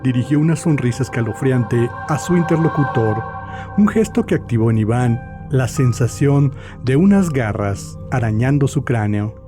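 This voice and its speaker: Male narrator for dramatized fiction, medium-pitched voice, clear music bed behind. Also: animated, moderate pace. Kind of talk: literary audio drama narration.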